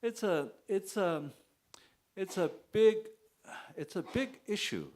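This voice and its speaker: A man with a Chinese accent, a poet answering on a bookstore panel, halting, with many restarts and fillers. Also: high-pitched, expressive, darkish tone. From China. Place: bookstore event space.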